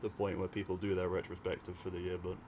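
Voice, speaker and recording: A man from Europe talking in an unscripted, musing, unhurried ASMR ramble to his listeners. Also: sits low, monotone, a little mellow. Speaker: audio roleplay creator talking to listeners. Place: by an open window at a desk. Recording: clear city noise with distant traffic. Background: city ambience, vehicles, sirens.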